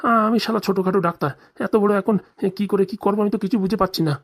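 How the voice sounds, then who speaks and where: Someone voicing a flustered, fast-talking village doctor. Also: mid-range voice, fairly even intonation, close to an everyday voice. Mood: grumbling, scheming. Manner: stammering, pleading, grumbling. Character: nervous, evasive small-time village clinic doctor, male, scheming. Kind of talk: comedy cartoon dialogue.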